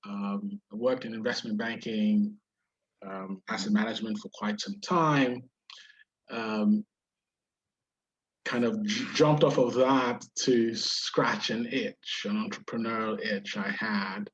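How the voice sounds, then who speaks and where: A man's voice: fairly low pitch, steady tone, slow, husky. A man from Nigeria with a Nigerian accent touched by British schooling, a venture investor explaining his fund, with many fillers and restarts. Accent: Nigerian with British influence. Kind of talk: webinar interview. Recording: dull lo-fi sound.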